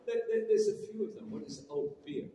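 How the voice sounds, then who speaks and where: A man's voice: sits high, animated, brisk, mellow. A man in his sixties with a British accent tinged with Canadian, a chatty and self-mocking guest actor telling stories on a convention panel. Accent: British with a bit of Canadian. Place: large convention panel hall. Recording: echoey hall from far off with crowd noise.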